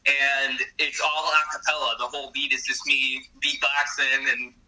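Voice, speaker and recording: A man hosting a casual chat, talking in an expressive, animated way. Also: medium pitch, moderate pace, slightly rough, distant mic, rough recording. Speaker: podcast host.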